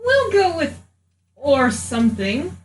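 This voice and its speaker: A woman with a highish, very animated voice banters through a tabletop roleplaying game. She is wry and casual, heard at some distance in an echoey room. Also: slow. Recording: echoey room, distant mic, faint noise.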